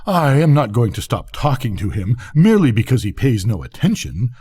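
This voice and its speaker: Man playing a pompous, husky storybook rocket. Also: medium pitch, sing-song, normal speed, dark tone. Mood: self-satisfied. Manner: haughty, self-important declaiming. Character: pompous, conceited male firework rocket, haughty and vain. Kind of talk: storybook character reading.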